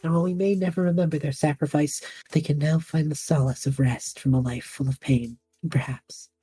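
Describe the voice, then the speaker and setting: A woman voicing a cocky, theatrical young male magic student with a husky voice in an audio drama. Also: deep voice, steady tone, a little fast, mellow, soft background music. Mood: grandly dramatic. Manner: theatrical, self-important, easily wounded. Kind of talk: audio drama character banter.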